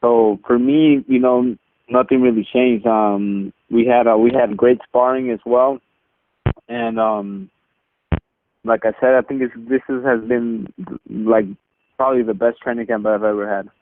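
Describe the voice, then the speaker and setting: Male athlete interviewee on a narrow phone connection; casual, halting, conversational answers. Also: medium pitch, steady tone. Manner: halting, repeats words, peppers talk with "you know" and "man". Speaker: boxer being interviewed. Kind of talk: casual press call answers. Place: phone line on a teleconference. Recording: narrow muffled telephone conference line.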